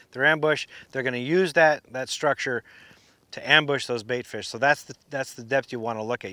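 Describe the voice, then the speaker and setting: A man's voice: mid-range voice, pushed and bright, no room sound. A middle-aged man giving practical fishing tips outdoors on a boat by a lake, explaining step by step with frequent restarts. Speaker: fishing instructor. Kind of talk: outdoor instructional talk. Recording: music bed under the voice. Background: guitar music.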